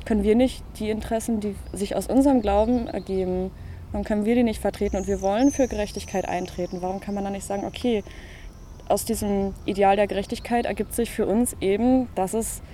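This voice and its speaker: A woman's voice: mid-range voice, fairly even intonation, fast talker, warm tone, slight background noise. Earnest woman speaking outdoors. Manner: earnest, argumentative. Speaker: interviewee, church member. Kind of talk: interview answer.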